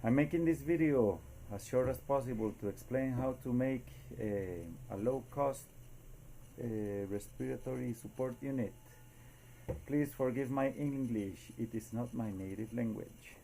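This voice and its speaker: Costa Rican man, Spanish-accented, unhurried and gravelly, haltingly explaining a homemade device, faint background noise. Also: mid-range voice, warm tone. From Costa Rica. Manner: halting, searching for words, with Spanish filler words. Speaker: amateur inventor demonstrating a homemade device. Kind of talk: informal explainer video.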